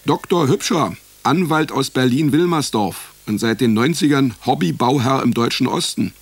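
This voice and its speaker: An actor playing a wry, broke private detective in a radio play, with a deadpan, sardonic manner. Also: male voice, medium pitch, normal speed, slightly rough. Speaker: narrator and lead actor. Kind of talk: first-person detective radio play narration and dialogue. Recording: music behind the voice. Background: music.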